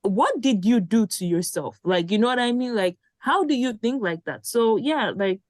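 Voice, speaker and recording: A woman's voice: fairly high pitch, expressive, normal speed, dry recording, clean studio sound. A woman co-hosting a podcast, chatting animatedly and stumbling through "like" and restarts. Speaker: podcast co-host. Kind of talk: casual chat.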